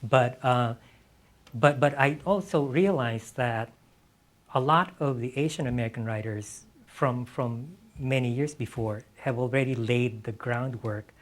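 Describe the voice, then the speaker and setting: A man from the Philippines answering as a novelist on a discussion panel, halting and thoughtful, often repeating words as he reflects. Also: mid-range voice. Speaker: novelist being interviewed on a panel.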